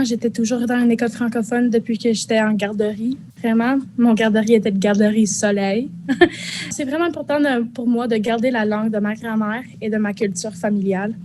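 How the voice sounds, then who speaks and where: A teenage girl from northern Ontario, a high school student speaking casually and hesitantly, with many fillers. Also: a little mellow, slight background noise. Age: teens. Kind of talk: spoken testimony.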